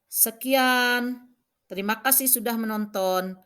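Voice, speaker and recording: A woman, a teacher, narrating a scripted lesson and reading out points in short, measured, instructional phrases. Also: fairly high pitch, a bit bright, clear, resonant tone. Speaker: vocational school cooking teacher.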